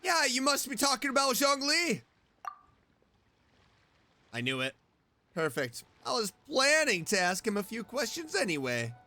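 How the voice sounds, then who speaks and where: A woman's voice: fairly high pitch, wildly swooping pitch, a little slow, pauses often, bright, forceful tone, slight background noise. A woman doing casual let's play commentary, playful and chatty, reacting aloud to game characters. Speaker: streamer playing and reacting to a game. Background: game music.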